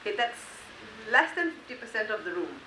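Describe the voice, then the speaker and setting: A woman's voice: fairly high pitch, very animated, fairly quick, bright, forceful tone. A woman from Malaysia giving a conference talk, conversational with frequent fillers. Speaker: conference speaker. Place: conference hall. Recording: echoey hall, faint hiss.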